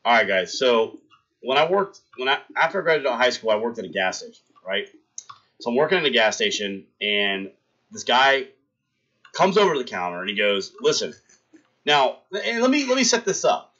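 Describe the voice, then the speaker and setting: A man's voice: medium pitch, very animated, normal speed, dull audio. A young man hosting a livestream, telling a rambling story in casual chat and talking to his viewers. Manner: rambling anecdote, talks to chat, shouts out followers. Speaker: livestream host.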